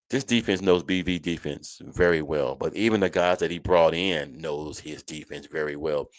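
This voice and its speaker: A man's voice: rumbling low, dry recording. A man hosting a college football show in a casual, folksy, conversational way, speaking to listeners like friends. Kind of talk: casual live sports chat.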